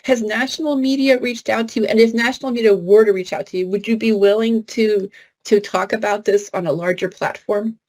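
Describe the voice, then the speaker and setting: A woman's voice: mid-range voice, steady tone, fairly quick, a little mellow, rough recording. A woman co-moderating a panel in a conversational, effusive and emphatic way.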